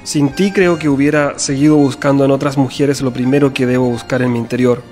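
A man's voice: medium pitch, fairly even intonation, fairly quick, a little mellow, soft background music, lightly acted. A man playing an earnest, tender, conflicted suitor in a radio soap, speaking in a heartfelt, solemn way. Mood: solemn, grateful.

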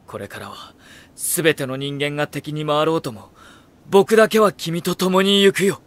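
An actor playing a refined, cerebral young strategist in an audio drama, with elegant, courtly, probing phrasing. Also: male voice, fairly high pitch, moderate pace, fairly bright tone, natural voice. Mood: moved, resolute. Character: refined, cerebral young male strategist, coolly devoted to his lord. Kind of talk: Japanese audio drama acting.

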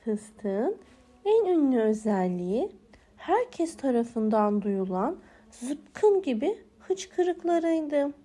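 A woman narrating a scripted bedtime story for small children with expressive, deliberate phrasing. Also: high voice.

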